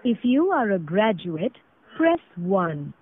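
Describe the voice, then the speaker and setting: A woman with an Indian accent reading formal, scripted automated phone prompts in a measured way over a phone line. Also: medium pitch, big pitch swings, slight background noise. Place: recorded phone call. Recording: tinny narrow phone line recording.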